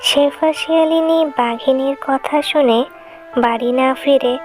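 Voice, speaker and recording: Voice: high-pitched, measured pace, soft background music, low-quality recording. A narrator reading a scripted children's cartoon story.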